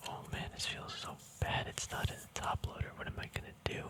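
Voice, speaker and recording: A man whispering casual ASMR to viewers in a breathy, unvoiced voice, rambling and thinking aloud without hurry, very close to the mic. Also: a little fast. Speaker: ASMR host. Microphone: mic very close to the mouth and nose.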